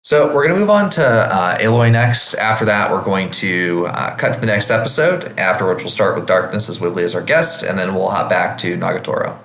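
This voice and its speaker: Male podcast host, relaxed conversational delivery, lowish pitch, noticeably echoey room sound. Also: fairly quick, few pauses, a little hiss or hum. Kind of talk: casual podcast hosting.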